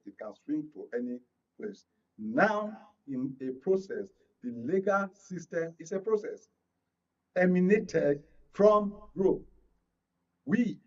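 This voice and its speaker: A Nigerian man with a fairly high voice speaks as a guest analyst in a political discussion. He lays out his points in an explanatory, deliberate way. The sound is slightly dull and lo-fi. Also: a little mellow. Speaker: media analyst, panel guest.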